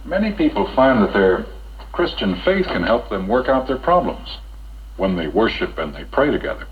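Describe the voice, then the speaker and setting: Casting: kindly chaplain, husky and expressive, earnest counsel, dull old film sound. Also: male voice, on the low side, a little hiss or hum. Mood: earnest, devout. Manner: measured, gently probing, earnest. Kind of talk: 1960s educational film acting.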